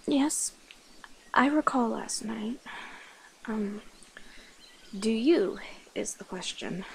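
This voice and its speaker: A woman playing a shy, playful and protective centuries-old vampire in an intimate ASMR roleplay, speaking softly and hesitantly. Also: medium pitch, a little hiss or hum, poor audio. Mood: tender, hesitant. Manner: hesitant, soft-spoken, breathy whispers, nervous giggles. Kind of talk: ASMR roleplay, intimate one-sided dialogue.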